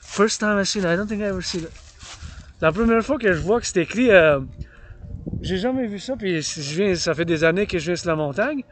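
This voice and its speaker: A man's voice: high-pitched, expressive, brisk, slight background noise. A man with a Quebec French accent vlogging on a mountain path outdoors, declaiming and repeating phrases in English and French. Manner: declamatory and theatrical, repeats phrases in both languages. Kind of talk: walking vlog, mixing English and French.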